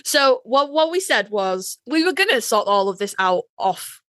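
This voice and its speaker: A woman with a slightly high voice chats casually as a podcast co-host. She banters playfully and pokes fun at herself. The sound is dry and close, with no room around it. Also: very animated, moderate pace, fairly bright tone, no echo. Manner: playful, bantering, self-deprecating. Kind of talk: casual chat.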